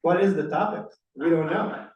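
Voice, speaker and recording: An Israeli-accented man talks a congregation through a lesson, loose and conversational, often stopping to ask "right?". His slightly high voice comes from some way off the mic and rings around a roomy hall. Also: normal speed, muffled recording, a little hiss or hum. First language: Hebrew. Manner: conversational, many restarts and "right?" tags. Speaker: rabbi leading a study session. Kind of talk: casual teaching talk to a congregation. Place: synagogue hall. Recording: echoey room, mic at a distance.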